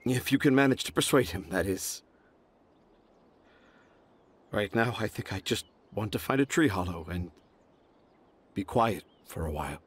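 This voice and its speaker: An actor playing a remorseful, self-deprecating father in a video game, apologetic and halting. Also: fairly low pitch, expressive, slight background noise, lightly acted. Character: remorseful middle-aged father, struggling storyteller.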